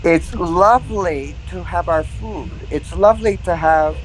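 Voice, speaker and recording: A man chatting on a livestream, very slow and stumbling, repeating words and laughing easily. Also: sits high, low-quality recording. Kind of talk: casual chat.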